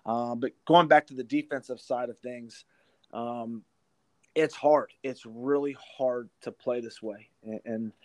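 A man's voice: mid-range voice, fairly even intonation, a little slow, a little mellow, no room sound. A man speaking as a college basketball coach in an interview, explaining his approach emphatically and repeating points for stress. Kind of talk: interview answers about coaching.

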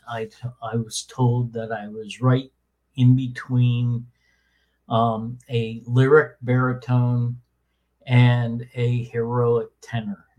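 A man with a voice that sits between a baritone and a tenor talks slowly and evenly. He hesitates often and fills pauses as he explains things casually. His pitch barely moves. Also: a little mellow, poor audio. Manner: halting, many fillers and restarts. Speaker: podcast host. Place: small room with soundproofing under way.

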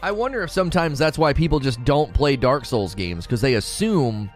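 A man's voice: medium pitch, expressive, normal speed, faint music behind. A man hosting a casual livestream, reading out chat messages and rambling with frequent restarts. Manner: rambling, restarts often, self-corrects. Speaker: livestream host.

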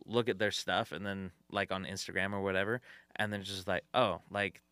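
Man, podcast guest, bright crisp voice, casual halting chat, dry close mic. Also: sits low, steady tone, dry recording. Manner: halting, lots of fillers and laughs. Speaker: comedian and photographer guest.

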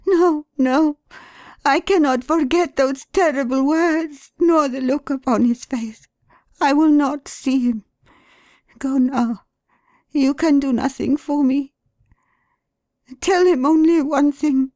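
A woman voicing a distraught young wife, pleading in a strained, high voice. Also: a little mellow, dry recording. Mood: anguished. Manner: intense, emphatic, slow.

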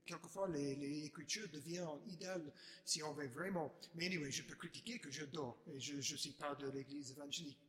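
American man, testimony speaker; slightly high, crisp-bright voice, stop-start second-language delivery. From United States (Chicago). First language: English. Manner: halting, frequent restarts, slips in English words. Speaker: guest speaker giving a testimony. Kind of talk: unscripted personal testimony.

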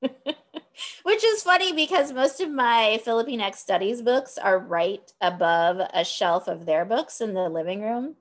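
A woman's voice: fairly high pitch, lively intonation, a little slow. A woman in her thirties from Texas, an author answering interview questions thoughtfully, with frequent ums. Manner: thoughtful, lots of ums, laughs easily. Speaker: author and academic guest.

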